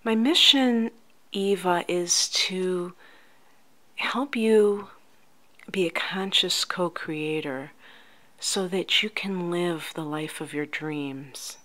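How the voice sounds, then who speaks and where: Slow, soft-spoken ASMR woman. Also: on the low side. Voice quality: soft, often near-whispered. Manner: gentle, unhurried, soothing, addressing the listener directly. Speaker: ASMR host playing a life coach.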